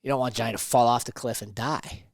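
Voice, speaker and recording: A man's voice: mid-range voice, fairly even intonation, fast talker, gravelly, no room sound, clean studio sound. A man talking conversationally as a motivational podcast host and coach, emphatic and fond of rhetorical questions.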